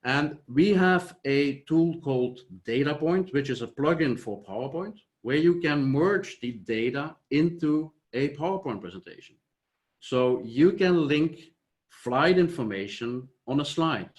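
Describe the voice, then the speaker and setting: A man from Flanders with a Flemish accent, whose first language is Dutch, giving a measured, explanatory online conference presentation over a video call. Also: a little high, lively intonation. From Flanders, Belgium. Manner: measured, explanatory, trails off with "et cetera". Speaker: software company founder presenting.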